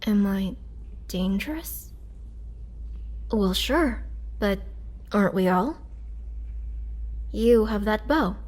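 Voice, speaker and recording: A woman playing a lonely, wry immortal vampire prisoner who looks young, in a halting, dramatic roleplay style. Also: medium pitch, expressive, darkish tone. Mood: wry, defensive. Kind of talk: dramatic girlfriend roleplay audio acting.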